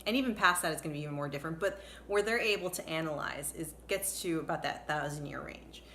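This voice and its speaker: A woman's voice: low-pitched, quick pace, bright, forceful tone, a little hiss or hum. A woman who grew up bilingual in English and Portuguese, explaining things casually and unscripted to camera in a chatty, digressive way. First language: English and Portuguese from early childhood. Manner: chatty, digressive, chuckles while talking. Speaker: vlogger.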